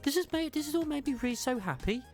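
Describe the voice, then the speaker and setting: A woman's voice: sits high, expressive, fast, music in the background. A woman voicing a tough, earnest tomboy girl soldier in a fan dub of a game story. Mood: tired, warm.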